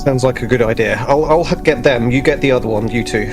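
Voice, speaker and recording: A man playing an earnest, helpful young ensign in a tabletop game, polite and steady. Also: mid-range voice, fast, music in the background, lightly acted. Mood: concerned, willing.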